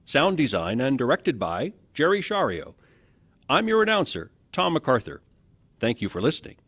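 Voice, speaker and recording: A man's voice: mid-range voice, wildly swooping pitch, moderate pace, fairly bright tone, slight background noise. A man reading scripted credits as the announcer of a radio play.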